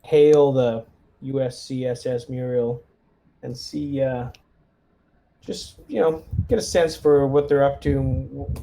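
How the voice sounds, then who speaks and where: A man's voice: mid-range voice, fairly even intonation, takes it slowly, gravelly, dark tone, a little hiss or hum. A man taking part in a tabletop roleplaying game, talking through plans casually with some hesitation.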